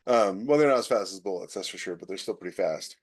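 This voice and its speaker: A man's voice: deep voice, expressive, quick pace. A man co-hosting a podcast, chatting casually and explaining things in a conversational way. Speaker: podcast co-host. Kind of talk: casual chat.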